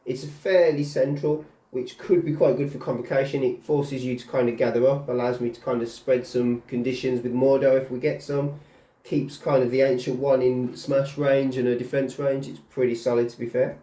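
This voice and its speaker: A relaxed man with a mellow, warm voice, talking through his tabletop game choices. He hedges a lot with "kind of". He is recorded in an echoey room. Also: mid-range voice, normal speed. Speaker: guest player. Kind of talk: casual explanatory chat. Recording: roomy.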